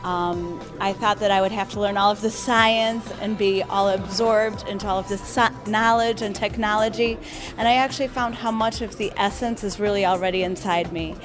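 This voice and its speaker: A woman's voice: medium pitch, steady tone, normal speed, music in the background. Sincere woman teacher from Chicago. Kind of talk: testimonial.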